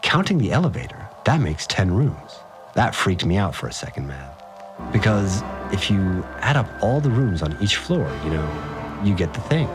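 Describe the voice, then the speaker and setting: Slangy low-voiced fixer. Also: male voice, moderate pace. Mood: freaked out. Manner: informal, slangy, animated storytelling. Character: rattled, slangy male fixer recounting events. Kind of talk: first-person audio drama monologue. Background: music.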